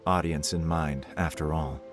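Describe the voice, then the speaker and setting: An actor playing a pompous, condescending official in a video game, with a haughty and formal way of talking. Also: male voice, very deep voice, steady tone, moderate pace, loud music behind the voice. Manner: haughty, formal, elaborate phrasing. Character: pompous, demanding, condescending male government candidate.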